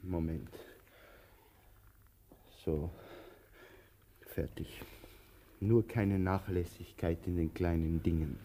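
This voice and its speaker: An actor in a German radio play playing a talkative, brooding tramp, pompous yet fond, with theatrical, halting musing. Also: male voice, low-pitched, steady tone, mellow, a little hiss or hum. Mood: pensive. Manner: theatrical, musing, mock-formal, halting for effect.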